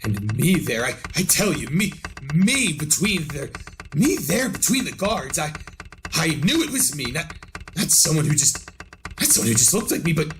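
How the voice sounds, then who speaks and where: An actor playing a frantic condemned murderer telling his story in a retro radio drama, halting and repeating himself. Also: a little high, very animated, noisy background. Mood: haunted dread. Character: frantic condemned murderer recounting witnessing his own execution.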